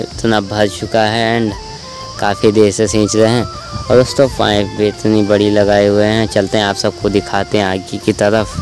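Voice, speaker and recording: A man's voice: on the low side, poor audio. Young man vlogging from village fields. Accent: Uttar Pradesh Hindi. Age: young adult. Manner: chatty, repeatedly addresses viewers as friends. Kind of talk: casual vlog narration. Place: rural village, indoors and outdoors in fields. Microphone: phone camera at arm's length.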